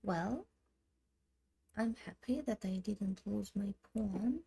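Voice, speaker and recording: A woman in her thirties from Armenia, who speaks Armenian natively, thinking aloud in a slow, halting way while she plays online chess. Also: mid-range voice, vocal fry, warm tone, husky. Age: 30s. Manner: slow, halting, muttering moves half to herself. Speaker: chess streamer.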